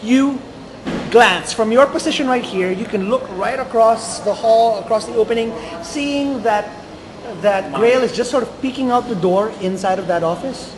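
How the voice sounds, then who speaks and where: High-voiced man running a tabletop game. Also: moderate pace, a crowd in the background, rough recording. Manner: laughing, playful narration. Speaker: dungeon master.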